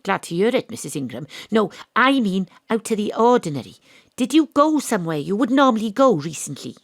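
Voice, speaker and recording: A woman playing a world-weary, wry private detective, narrating a noir audio drama in a deadpan way. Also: mid-range voice, fairly quick, no room sound. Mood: brisk, world-weary. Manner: deadpan, dry. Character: female private detective, world-weary, wry, hard-boiled ex-rugby star.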